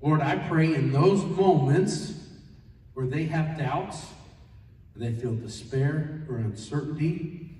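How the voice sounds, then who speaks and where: A man, a pastor giving a plain-spoken, animated sermon to schoolchildren, full of rhetorical questions. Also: fairly high pitch, slow. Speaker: pastor leading a children's chapel. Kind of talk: sermon to fifth and sixth graders. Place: large school gymnasium, indoors. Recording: echoey gym, distant microphone.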